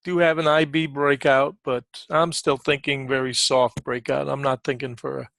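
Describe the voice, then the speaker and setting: A man giving informal live market commentary as a trading coach, conversational and repetitive for emphasis, often clearing his throat. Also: mid-range voice, flat delivery, normal speed, fairly bright tone, dry recording.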